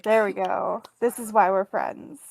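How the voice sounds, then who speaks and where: A woman's voice: medium pitch, fairly even intonation, unhurried, vocal fry, darkish tone, no echo. A woman speaking casually as a player in a tabletop roleplaying game, improvising her character's actions.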